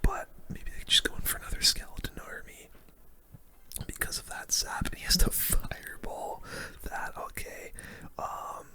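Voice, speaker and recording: A person whispering informal, slangy ASMR commentary over gameplay as the host of a gaming video. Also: moderate pace.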